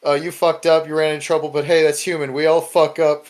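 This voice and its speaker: A young man hosting a casual discussion podcast, retelling things in an animated way with frequent swearing. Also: on the high side, quick pace. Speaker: podcast host.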